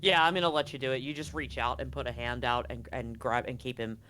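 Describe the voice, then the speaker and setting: Game master narrating with dramatic drawn-out pauses. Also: mid-range voice, steady tone, sharp tone, a little hiss or hum. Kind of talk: improvised narration of a tabletop game.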